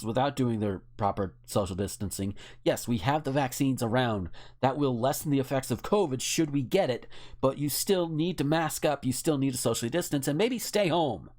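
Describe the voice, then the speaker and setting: A man's voice: mid-range voice, a little mellow. A man co-hosting a podcast, talking casually in a rambling, wry and opinionated way. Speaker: podcast co-host. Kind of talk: casual chat.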